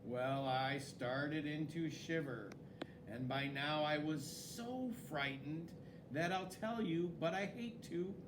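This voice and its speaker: Guy reading a kids story, really expressive and dramatic, takes his time, noisy background. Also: a little high, slow. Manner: expressive, dramatic, with swooping emphasis. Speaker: story reader. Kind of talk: animated children's story read-aloud.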